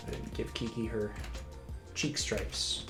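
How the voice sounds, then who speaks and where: A young man chatting casually with a co-host while drawing, relaxed and laughing through his stories. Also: fairly low pitch, takes it slowly. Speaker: streaming artist. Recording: faint music behind.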